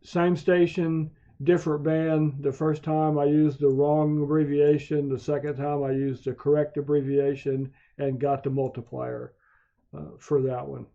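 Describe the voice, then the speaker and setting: Slow-talking man with a very dark, muffled voice. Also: on the high side, little pitch movement, gravelly. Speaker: amateur radio hobbyist hosting video tutorials. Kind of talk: software walkthrough narration for a screen tutorial. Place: home radio shack. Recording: dull, muffled lo-fi voice track.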